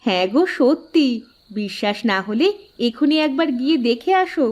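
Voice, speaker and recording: A woman's voice: high-pitched, lively intonation, normal speed, darkish tone. A woman voicing a practical, firm village wife and mother in a cartoon, homely and assertive. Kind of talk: Bengali cartoon voice acting.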